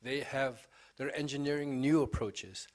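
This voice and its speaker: Man, medium pitch, panel questioner, unscripted discussion style. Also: a little slow.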